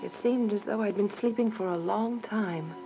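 An actress playing a devout, earnest young woman imprisoned for her faith in a religious radio drama, emotive and deliberate. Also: medium pitch, natural voice. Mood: solemn. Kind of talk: religious radio drama acting with first-person narration. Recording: dull lo-fi radio drama with music.

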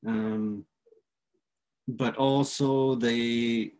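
A young man whose first language is Inuktitut, presenting his artwork on a video call, speaking slowly with many pauses, ums and uhs. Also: fairly low pitch, rough recording. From Canadian Arctic. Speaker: artist. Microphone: video call, laptop mic.

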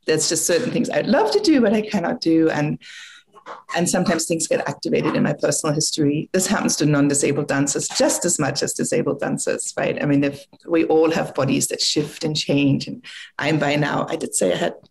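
German-accented older woman with gravelly voice. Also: deep voice, fairly quick, a little mellow, rough recording. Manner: reflective, thinking aloud with frequent restarts and fillers. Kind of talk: conversational talk. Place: home room, video call. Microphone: video call.

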